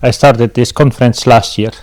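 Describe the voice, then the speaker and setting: A man's voice: medium pitch, fairly even intonation, normal speed, darkish tone. A middle-aged man from Belgium with a Belgian accent, giving casual answers as an interview guest.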